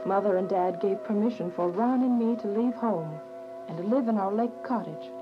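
A woman's voice: medium pitch, moderate pace, lightly acted. A young woman playing an earnest, devout teenage daughter who narrates a radio drama. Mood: earnest, troubled. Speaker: narrator and lead character. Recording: muffled radio drama, music behind.

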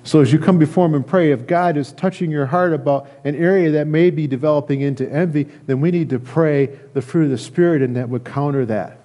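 A middle-aged American man giving a sermon as a pastor, speaking earnestly and haltingly, often repeating phrases. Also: on the high side, mellow. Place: church sanctuary, indoors at a lectern.

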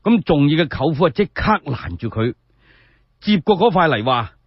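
A Cantonese man performs a solo radio story in a colloquial, dramatic way. His voice is raspy and a bit high, swinging widely in pitch. The recording is dry and dull. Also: no room sound. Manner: animated, colloquial, drawn-out phrasing with rhetorical questions. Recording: dull lo-fi sound.

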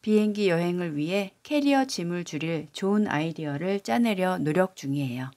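Woman, AI voice, brisk sentence drill reading, slightly low pitch. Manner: even, careful read-aloud of repeated sentences. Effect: AI text-to-speech voice. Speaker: language lesson reader.

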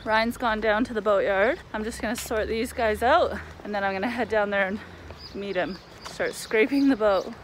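A woman's voice: medium pitch, moderate pace, background noise. A young woman vlogging to a selfie camera at arm's length, chatty and joking as she narrates her day. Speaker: sailing vlogger. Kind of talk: casual vlog chat.

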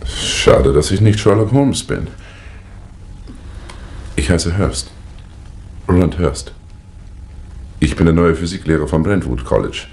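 An actor playing an apologetic, polite young teacher in a 1960s German radio play. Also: male voice, extremely low pitch, darkish tone, exaggerated voice. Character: apologetic young male physics teacher, stranded motorist. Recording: old vinyl record with surface noise.

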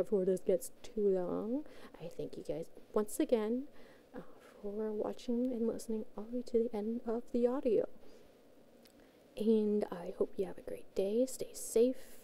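Woman, mellow tone, medium pitch, casual rambling outro, informal, self-effacing amateur voice actor. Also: low-quality recording. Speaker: amateur voice actor, channel host.

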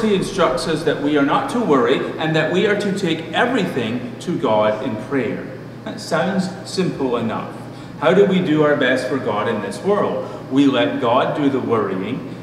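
A middle-aged man preaching a sermon as a pastor, in a measured, deliberate manner with pauses. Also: medium pitch. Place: church room at a pulpit. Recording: distant mic, echoey hall, noisy background.

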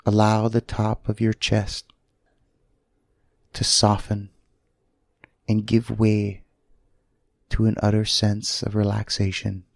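A man leads a sleep meditation in a gravelly, soothing voice. He speaks very slowly, in short, gentle phrases separated by long pauses. The sound is close and dry. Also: fairly low pitch, monotone, no echo. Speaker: guided meditation leader.

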